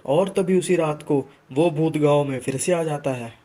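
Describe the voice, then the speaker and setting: A man with a slightly higher-than-usual voice reads as a story narrator. The delivery is scripted, dramatic storytelling. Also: unhurried, mellow.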